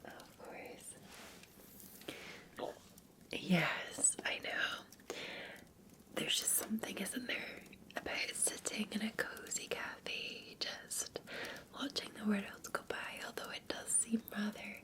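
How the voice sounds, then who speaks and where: A Scottish woman whispering softly and breathily in a gentle, soothing, unhurried ASMR roleplay as a café barista. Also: mid-range voice.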